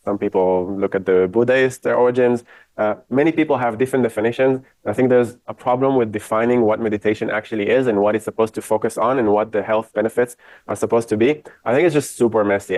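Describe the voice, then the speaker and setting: A man's voice: medium pitch, darkish tone, dry recording, poor audio. A man from Israel giving a university lecture off the cuff, speaking frankly and giving his own opinions.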